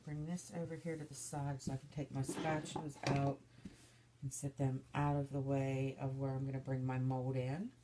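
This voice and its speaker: Low-voiced woman, stuffy and hoarse. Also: level tone, normal speed, dark tone, a little hiss or hum. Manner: chatty, narrating each step as she works. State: stuffy and slightly hoarse from illness. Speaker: soap maker demonstrating her craft. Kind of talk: casual step-by-step demonstration.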